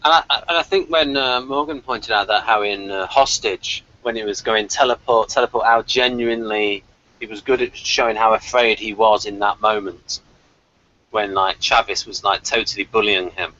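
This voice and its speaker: Bright-voiced man hosting, lo-fi sound. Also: on the low side, steady tone, moderate pace. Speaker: review show host. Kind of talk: casual review chat. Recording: muffled lo-fi call audio.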